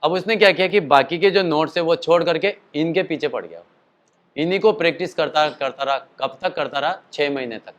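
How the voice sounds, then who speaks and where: A man with a slightly high voice leads a casual voice lesson, explaining in a chatty, rambling way. His throat sounds tired from a show the night before. He breaks off now and then to demonstrate calls and sung notes. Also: a little fast, a bit bright. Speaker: singing and voice teacher.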